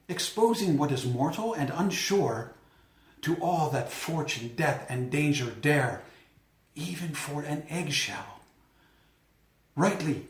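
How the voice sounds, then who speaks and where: A man playing a brooding, self-reproaching young prince in an earnest, declamatory Shakespearean soliloquy. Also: on the high side. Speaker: amateur actor. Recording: echoey room.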